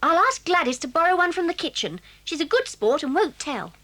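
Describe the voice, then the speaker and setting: A woman playing a bossy, excitable English boarding-school girl with jolly slang in a children's audio drama. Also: very high voice, a little fast, exaggerated voice. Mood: eager, excited. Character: bossy, excitable schoolgirl planning a secret party.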